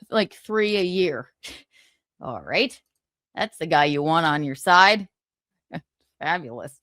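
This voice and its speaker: A woman with a fairly low voice reads book passages to her stream and keeps stopping to poke fun at them. She chuckles often between lines and thanks viewers for donations as she goes. The sound is close and dry, with no room around it. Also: fairly bright tone, no echo. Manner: sarcastic asides, frequent chuckles. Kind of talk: reading a book aloud with live commentary.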